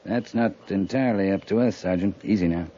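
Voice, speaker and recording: An American man playing a calm, resolute cavalry captain in a 1950s radio western, measured, understated and commanding. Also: low voice, lightly acted. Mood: grave, controlled. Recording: dull, noisy 1950s radio recording.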